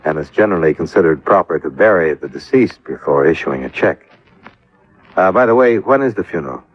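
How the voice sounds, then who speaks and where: An American actor playing a sardonic, hard-boiled insurance investigator in the dry, clipped style of 1950s radio detective drama. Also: male voice, low voice, lively intonation, a little fast, slightly rough. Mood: sardonic, probing. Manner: dry, sarcastic, clipped questioning. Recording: muffled vintage radio recording.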